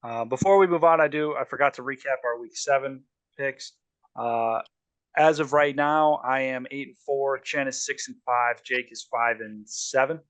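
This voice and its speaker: A man hosts a casual sports chat, his voice at an ordinary pitch. He rambles easily, with plenty of ums and restarts. The room sounds dry and close, with no echo. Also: normal speed, a bit bright. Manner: loose and chatty, many ums and restarts. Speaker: podcast host.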